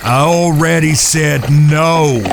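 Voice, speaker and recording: Man acting a gravelly, stern Western eldest brother. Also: on the high side, fairly even intonation, takes it slowly. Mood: firm, dry. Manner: commanding, plainspoken. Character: stern, protective eldest brother, Old West gang leader. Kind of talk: audio drama western acting. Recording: noisy track with cricket-filled outdoor ambience.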